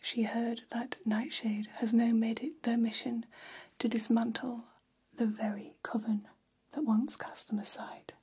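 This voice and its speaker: An elegant vampire hairstylist, played by a woman, whispers in a very breathy, mellow voice. She confides salon gossip slowly and soothingly, close to the mic, in an ASMR role-play. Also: a little high, little pitch movement, exaggerated voice. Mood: hushed, confiding. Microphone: close ASMR microphone.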